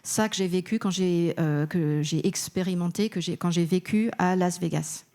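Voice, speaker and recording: Low mellow woman interpreting. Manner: steady, slightly halting rendering. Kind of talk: consecutive interpreting.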